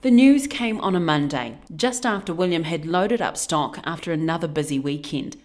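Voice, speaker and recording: A woman with a New Zealand accent reads a scripted news feature. Her voice sits a little low, and she delivers it as a reporter telling a story. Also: expressive, normal speed, darkish tone.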